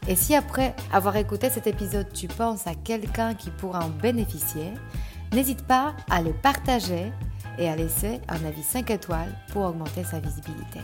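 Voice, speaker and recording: A woman's voice: medium pitch, moderate pace, music in the background. A woman hosting a coaching podcast, reading a scripted intro and then interviewing a guest in a hesitant way with many fillers.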